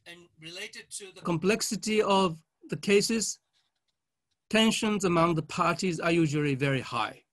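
Guy w high voice, doing a formal conference lecture, careful and halting, short phrases. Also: fairly bright tone. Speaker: conference speaker, legal academic. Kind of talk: formal academic conference presentation read with slides.

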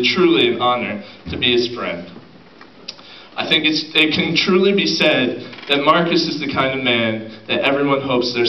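A man in his thirties giving a prepared best man's wedding speech to an audience, in a halting way. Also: mid-range voice. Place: large indoor wedding reception hall. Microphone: handheld PA microphone, picked up by a far camera. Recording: dull, echoey hall, distant camera, crowd noise. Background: crowd of guests.

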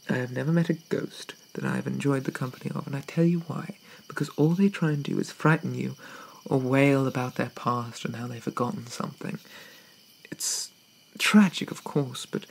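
A man playing a shy, apologetic young zombie in an ASMR roleplay, with hesitant, stammering, old-fashioned politeness and a soft whisper. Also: medium pitch, low-quality recording. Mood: uneasy, musing. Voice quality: soft, breathy whisper with creaky edges.

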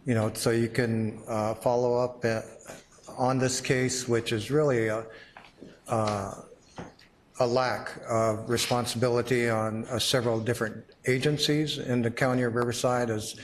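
A middle-aged man gives public comment at a county board meeting in a flat, unvaried tone. He talks haltingly and fills his pauses with uh. Faint room noise sits behind him. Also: mid-range voice. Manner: halting, many uh fillers.

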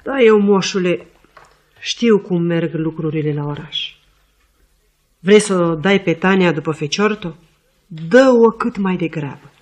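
Radio drama servant played by a man: high voice, chatty, folksy, worldly-wise, period recording. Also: long pauses, close to an everyday voice. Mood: confiding, earnest. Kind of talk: Romanian radio theatre acting. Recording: 1950s radio theatre recording.

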